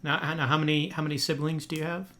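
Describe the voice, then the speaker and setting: A man's voice: a little high, level tone, fast talker, bright, forceful tone. A man hosting a podcast interview in a friendly, casual way, with many fillers and restarts. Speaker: podcast host.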